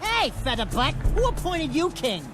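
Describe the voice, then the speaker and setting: A man's voice: extremely high pitch, sing-song, normal speed. A man voicing a cocky, cowardly, wisecracking little furry animal sidekick in a video game, with a brash mouth. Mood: taunting. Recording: loud music over dialogue.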